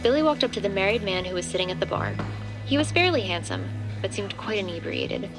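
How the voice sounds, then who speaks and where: Woman narrating a scripted horror audio drama, mid pitch, music underneath. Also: huge pitch swings, quick pace.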